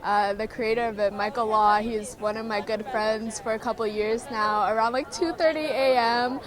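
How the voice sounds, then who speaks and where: A young woman gives a casual interview. Her voice is rather high, and a crowd is clearly audible around her outdoors. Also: fairly even intonation, normal speed. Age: young adult. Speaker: rally co-organizer. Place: outdoors among a rally crowd. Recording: outdoor recording with crowd behind.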